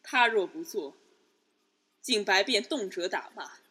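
A woman playing a stern, plain-spoken Buddhist nun in a Chinese palace radio drama, measured, subdued and grave. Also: mid-range voice, lively intonation, pushed and bright, slightly rough, exaggerated voice. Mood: grave, reproachful.

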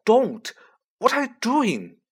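A young boy in a storybook, voiced by a woman, pitched a little above an adult woman's low register. He is courteous and hesitant, puzzled but well-meaning. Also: very animated, moderate pace. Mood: alarmed.

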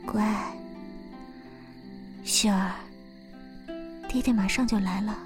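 A woman voices A gentle young mother in a Chinese period radio play. She speaks slowly and softly, with a wistful tenderness, over background music. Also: mid-range voice, steady tone, natural voice. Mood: tender. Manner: tender, soft, unhurried. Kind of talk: Chinese period audio drama acting. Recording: background music under speech.

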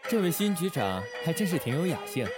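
A man playing a shrewd, authoritative secret-service chief in a Chinese audio drama, measured and wry. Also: high voice, exaggerated voice. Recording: music bed behind the voice.

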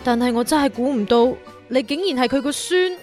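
An actress playing a curious, expressive young woman in a Cantonese sci-fi radio drama, with a Hong Kong accent. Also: sits high, brisk, faint music behind, natural voice. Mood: surprised.

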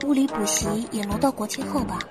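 A woman's voice: fairly high pitch, fairly quick, close to an everyday voice. A young woman acting an earnest, plaintive female law student in an audio drama. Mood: grumbling, reluctant. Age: young adult. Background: music with guitar.

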